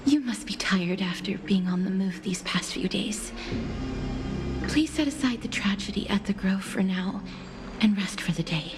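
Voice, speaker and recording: An actress voicing a gentle, solemn young maiden in an anime video game, soft and breathy, formal and polite, with music underneath. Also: female voice, medium pitch, moderate pace, close to an everyday voice.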